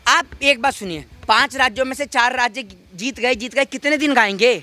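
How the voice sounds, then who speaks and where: A man's voice: very high voice, fairly quick, sharp tone. A man arguing politics in a heated impromptu debate, insistent and repeating phrases for emphasis. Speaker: interviewee arguing political views.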